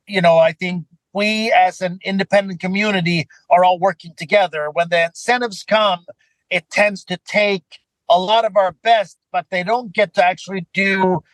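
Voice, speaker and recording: High-pitched man from Sweden, chatting casually. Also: steady tone, normal speed, a bit bright, no echo, rough recording. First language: Swedish. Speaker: podcast guest, filmmaker and actor. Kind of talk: casual conversational storytelling.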